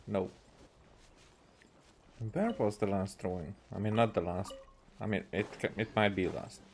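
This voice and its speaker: A man from Hungary doing casual gameplay commentary, halting and thinking aloud to himself. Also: fairly low pitch, fairly even intonation, gravelly, a little hiss or hum. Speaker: video game streamer.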